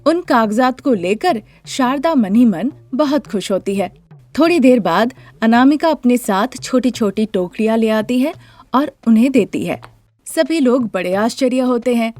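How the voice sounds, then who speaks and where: A woman's voice: fairly high pitch, expressive, unhurried, darkish tone, dry recording, soft background music. A woman narrating a scripted moral story as the storyteller.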